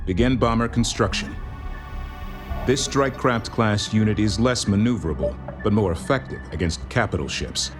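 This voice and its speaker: Male actor as sci-fi game intelligence officer: fairly deep, composed, clipped and businesslike, music underneath. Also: expressive, normal speed, lightly acted. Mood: businesslike, focused. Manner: clipped, official, matter-of-fact. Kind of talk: scripted game dialogue and mission briefing. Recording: music behind the voice.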